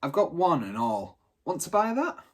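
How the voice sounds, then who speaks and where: A man's voice: on the high side, big pitch swings, normal speed, slightly rough, voice pushed up. Man acting an indignant market costermonger. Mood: hawking. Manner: blunt, grumbling street slang.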